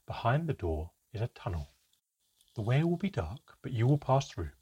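A commanding female elf, formal and pressing, with a raised, husky voice, as a male narrator puts her on. She speaks in clipped, urgent orders in this storybook reading. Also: medium pitch, very animated, moderate pace, darkish tone.

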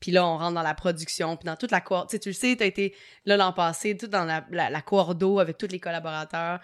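A woman's voice: fairly low pitch, fairly quick. A woman with a Québécois accent chatting casually as a podcast guest. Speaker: podcast guest, trainer and coach. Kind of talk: casual chat.

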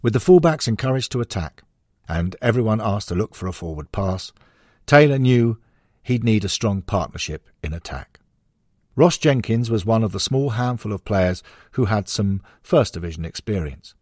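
A man with a very low, husky voice narrates a sports history audiobook. The recording is dry and close, with very little room sound. He reads scripted non-fiction prose. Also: expressive, normal speed, darkish tone, no room sound.

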